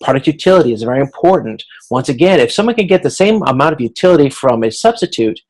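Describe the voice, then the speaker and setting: A man giving an explanatory online lecture as a college instructor, speaking in a measured, semi-improvised way and often repeating words as he goes. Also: mid-range voice, lively intonation, darkish tone. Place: video conference recording.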